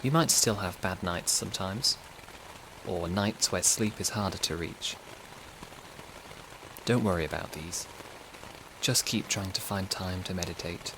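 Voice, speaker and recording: A man hosting a guided sleep meditation podcast, giving soothing, gentle, unhurried instructions. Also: low voice, background noise.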